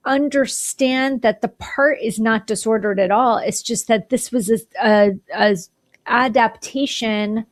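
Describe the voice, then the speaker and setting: Woman, podcast host, fairly high pitch, explanatory, clinical wording. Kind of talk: interview hosting.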